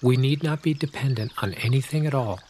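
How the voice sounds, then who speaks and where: A man narrating a guided meditation, calm and unhurried, speaking in short scripted phrases with long pauses. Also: mid-range voice. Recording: clear nature-sound noise under voice. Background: birdsong and pouring water.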